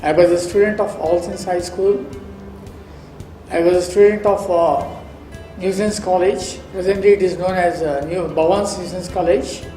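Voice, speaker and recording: A man's voice: sits high, fairly even intonation, mellow. Man lecturing in an echoey hall. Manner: deliberate, repetitive, many pauses and fillers. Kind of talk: formal lecture. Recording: echoey hall, distant mic, faint music.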